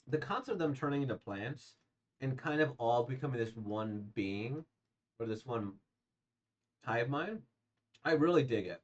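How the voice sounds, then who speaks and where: A hoarse, slightly congested man casually reacting to manga he has read, rambling with many fillers and restarts. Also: mid-range voice. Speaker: manga reader and reviewer. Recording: dull lo-fi sound.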